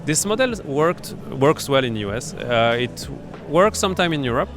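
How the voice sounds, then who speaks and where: A man with a French accent, a startup accelerator founder being interviewed at a startup event, explaining his business in a halting way full of fillers and restarts. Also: fairly high pitch, big pitch swings, music in the background. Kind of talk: conversational interview.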